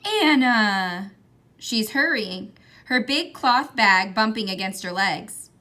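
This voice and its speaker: Woman doing a kids book read aloud, really bright voice, expressive. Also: mid-range voice, takes it slowly. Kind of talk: expressive children's picture book reading.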